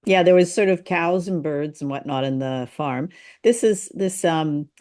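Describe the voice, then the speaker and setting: A woman's voice: deep voice, a little slow, darkish tone. A woman co-hosting a podcast, chatting casually in a hesitant, appreciative way. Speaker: podcast co-host. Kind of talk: casual chat about old radio drama.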